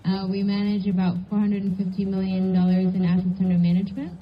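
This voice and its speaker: A woman from Kenya speaking on a panel, measured and articulate. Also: mid-range voice, completely flat delivery. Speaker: panelist, venture investor. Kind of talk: panel talk. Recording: dull lo-fi event audio.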